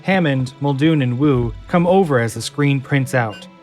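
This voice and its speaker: A man's voice: mid-range voice, moderate pace, darkish tone, soft background music. A man narrating an audio drama in a scripted, dramatic storytelling style.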